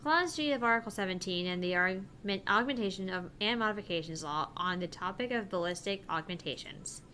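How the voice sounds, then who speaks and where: A woman's voice: medium pitch, a little fast, bright, forceful tone, slight background noise, lightly acted. Woman reading as an earnest young female officer. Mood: earnest, official. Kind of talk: voiced reading of visual novel dialogue.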